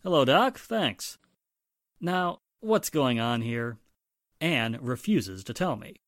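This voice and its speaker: A man plays a spluttering, exasperated police inspector from a 1940s radio mystery. His pitch leaps about wildly as he blusters. The sound is very dry, with no room to it. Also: big pitch swings, takes it slowly, fairly bright tone, no room sound. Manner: spluttering, emphatic, incredulous. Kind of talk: 1940s-style radio drama acting.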